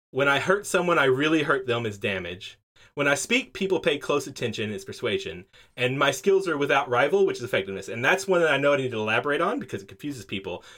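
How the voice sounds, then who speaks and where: A man acting as game master for a tabletop game, explaining the rules casually with lots of fillers and quick laughs. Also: medium pitch. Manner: lively, laughs easily, many fillers. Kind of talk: running a tabletop game session.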